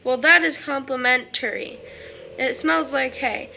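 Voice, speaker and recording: A high, bright little boy, with a creaky edge. He is sweet, earnest and eager, recorded for an audio drama with some background noise. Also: measured pace, full character voice, low-quality recording.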